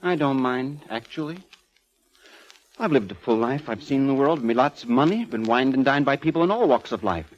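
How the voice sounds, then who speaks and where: An actor playing an eccentric, gleefully morbid ageing composer in a 1940s radio drama, with a flamboyant, florid manner. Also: male voice, mid-range voice, expressive, moderate pace, natural voice. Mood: elated, morbid. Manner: flamboyant, effusive, chuckling, florid. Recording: muffled vintage 1940s radio recording.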